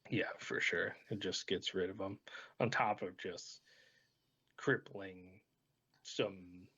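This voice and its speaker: A man with a fairly low voice hosts a casual discussion of card game decks. He speaks in an easygoing, colloquial way. The recording is slightly dull and lo-fi. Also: fairly even intonation, slow pace, bright, forceful tone, husky. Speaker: host of a trading card game channel. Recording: dull, lo-fi voice track.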